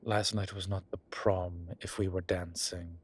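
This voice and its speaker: A man voicing a flirtatious, smitten demon professor in an intimate audio roleplay, husky and breathy, with halting, wry phrasing. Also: low-pitched, flat delivery. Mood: flustered, teasing. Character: teasing, smitten male incubus demon professor. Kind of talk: intimate one-sided audio roleplay acting.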